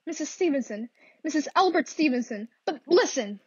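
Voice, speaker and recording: A woman's voice: sits high, lively intonation, normal speed, no echo. A woman playing a nervous, imperious married invalid in a radio thriller, insistent and easily exasperated. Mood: anxious, urgent. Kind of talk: classic radio thriller acting. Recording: dull, lo-fi dry audio.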